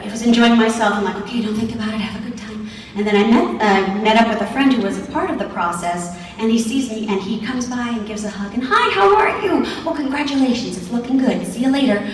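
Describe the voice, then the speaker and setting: A woman voice actor telling a casual, animated anecdote as a panel guest on a stage in a large hall. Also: medium pitch. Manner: animated storyteller quoting others. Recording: echoey noisy hall from far off.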